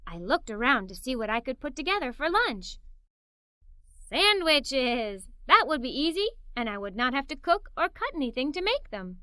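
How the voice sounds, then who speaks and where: A woman reading a children's story aloud in the first person, with animated, expressive delivery. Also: high-pitched, moderate pace, no room sound, clean studio sound. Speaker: storybook narrator.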